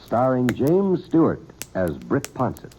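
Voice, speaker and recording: A man reading a scripted public service announcement as a radio announcer, measured and emphatic. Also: medium pitch, sing-song, loud music behind the voice. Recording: dull old radio broadcast.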